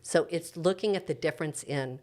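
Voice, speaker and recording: Middle-aged woman, low-pitched, explaining earnestly. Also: normal speed, darkish tone. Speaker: church preacher interviewed as podcast guest. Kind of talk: earnest reflective interview talk.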